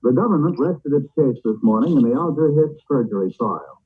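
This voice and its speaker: A man's voice: mid-range voice, expressive, fairly quick, breathy edge. A man reading the news formally in 1940s radio style, on a muffled old broadcast recording. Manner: formal, declarative. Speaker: radio newscaster.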